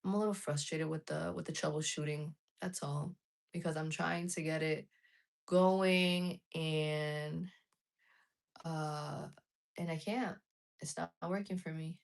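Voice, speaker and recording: A woman's voice: low-pitched, steady tone, slow, gravelly. A woman live streaming casually to her viewers, chatting frankly and reading out chat messages. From United States.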